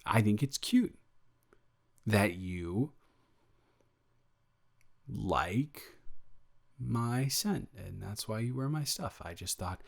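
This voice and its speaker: Man playing a slow, bashful young male roommate. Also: lowish voice, lively intonation, creaky, full character voice. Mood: teasing, tender. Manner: hesitant, rambling, halting delivery. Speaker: audio roleplay performer. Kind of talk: improvised romantic roleplay, intimate casual chat.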